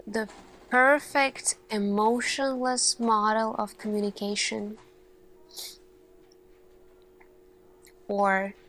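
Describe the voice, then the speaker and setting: A woman playing an earnest, thoughtful lead scientist in an ASMR roleplay, speaking in a hushed, deliberate way with pauses and searching questions. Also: medium pitch, a little hiss or hum, low-quality recording. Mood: wondering, wry.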